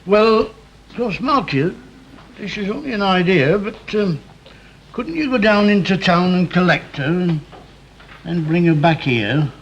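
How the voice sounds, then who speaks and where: An actor playing a nosy, plain-spoken older neighbour with a Northern English accent in a 1960 television drama. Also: male voice, high voice, lively intonation, a little slow. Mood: probing. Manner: probing, homely, blunt. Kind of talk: 1960s British television drama acting. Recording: muffled noisy 1960 television soundtrack.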